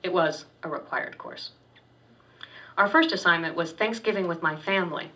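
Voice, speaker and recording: A woman's voice: lowish voice, moderate pace. Woman author reading fiction at a distance. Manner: steady, wry reading with slight stumbles. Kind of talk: literary reading of first-person fiction. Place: public reading at a university poetry center. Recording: distant dull lo-fi recording.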